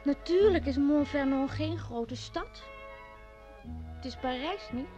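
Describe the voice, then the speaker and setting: A woman's voice: high voice, expressive, slow, warm tone, faint music behind. A woman playing a wistful, jealous young innkeeping daughter of a mayor in a radio play, on a dull old recording. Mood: wistful, sulky. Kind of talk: radio drama acting.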